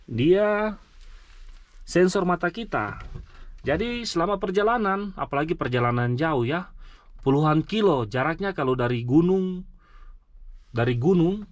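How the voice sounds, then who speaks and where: A man presenting a vehicle walkaround vlog, explaining things enthusiastically and speaking directly to his viewers. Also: a little high. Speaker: vlogger, mine vehicle driver. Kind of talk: vlog truck walkaround and review.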